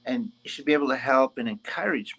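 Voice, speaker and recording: A man who teaches guitar, speaking as an interview guest in an animated, joking way and acting out imagined people's worries. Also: mid-range voice, fast talker, low-quality recording. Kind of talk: casual interview.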